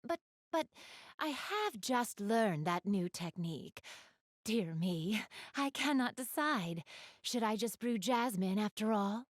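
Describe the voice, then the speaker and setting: A woman's voice: mid-range voice, expressive, takes it slowly, dry recording, studio quality. A woman playing a gracious, refined young goddess in a video game, speaking to the player in polite, elegant, formal phrasing. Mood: musing.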